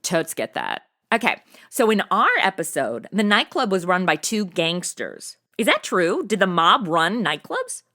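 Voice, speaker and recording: A woman acts out a perky young female host of a comedy interview segment. Her pitch swings in a lively way, and she talks in casual valley-girl slang with youthful bounce. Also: unhurried, full character voice. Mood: curious, casual. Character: bubbly slangy modern young woman hosting history segment. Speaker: podcast host.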